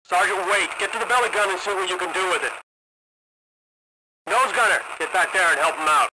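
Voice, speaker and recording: An actor playing a steady, commanding World War II bomber captain, giving terse military orders in a war video game. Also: male voice, high voice, fairly quick, slight background noise, low-quality recording. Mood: firm command.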